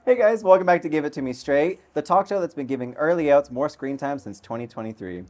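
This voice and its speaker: A man hosting a casual talk show, teasing his guest with deadpan banter. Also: on the high side, fast, faint music behind. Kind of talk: casual interview chat.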